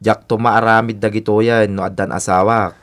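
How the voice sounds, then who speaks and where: Actor as a carefree young Filipino bachelor, lowish voice. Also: male voice, level tone, brisk, fairly bright tone, lightly acted. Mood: breezy. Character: carefree young Filipino bachelor, playful toward his father. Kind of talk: Ilocano radio drama acting.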